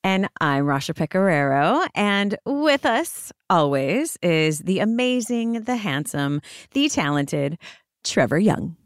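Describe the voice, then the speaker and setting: A woman co-hosting a podcast, raspy from a sinus infection, chatting in a lively, enthusiastic way and laughing often. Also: on the low side, slow pace, no room sound, clean studio sound. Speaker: podcast co-host. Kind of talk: casual chat.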